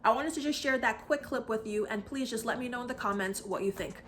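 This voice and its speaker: A woman's voice: fairly high pitch, quick pace. A woman in her thirties, from Barbados, casually introducing a clip and speaking straight to her viewers. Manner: friendly, direct to the viewer. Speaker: channel host.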